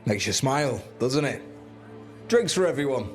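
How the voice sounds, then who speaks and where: Male actor as rebel comrade, game dialogue, wry, blunt, middle-pitched, light music behind. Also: animated, normal speed, natural voice. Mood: wry, satisfied. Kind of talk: video game dialogue acting.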